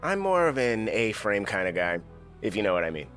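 A man's voice: on the low side, big pitch swings, fairly quick, fairly bright tone, soft background music. An actor playing a guileless, earnest young wannabe businessman in a comedy radio play. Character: naive, eager young bearded wannabe businessman.

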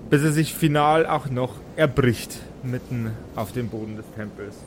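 A man narrating an improvised tabletop fantasy game as game master, in halting, deliberate phrases. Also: mid-range voice, a little hiss or hum. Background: background music.

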